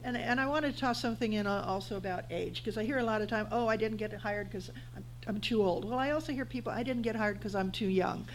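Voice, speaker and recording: A woman's voice: medium pitch, quick pace, a little hiss or hum, low-quality recording. A woman in her fifties speaking on a panel, giving blunt, encouraging career advice. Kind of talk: panel discussion.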